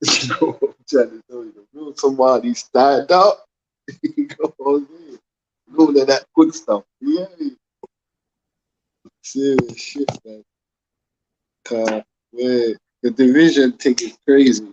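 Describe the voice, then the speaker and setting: A man's voice: medium pitch, slow pace, long pauses, highs cut off. A man joking boisterously in a casual live panel chat.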